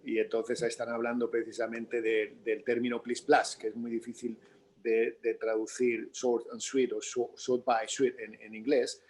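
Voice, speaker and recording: Man, Spanish accent, native Spanish speaker, director in a discussion, halting, repetitive phrasing. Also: medium pitch, little pitch movement, darkish tone, slight background noise. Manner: halting, repeats words while explaining. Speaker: theatre director. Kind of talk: unscripted Q&A discussion.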